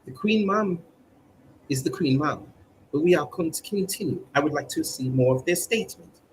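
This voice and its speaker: A man's voice: fairly high pitch, lively intonation, moderate pace, slight background noise, rough recording. A man hosting a comedic mock newscast in a stilted, formal newsreader manner, drawing out his words. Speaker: mock news presenter on a livestream.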